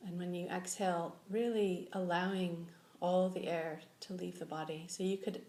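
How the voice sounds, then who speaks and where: A woman leading a guided meditation as a teacher, giving slow, gentle instructions with long pauses. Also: on the low side, steady tone. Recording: echoey room with faint background noise.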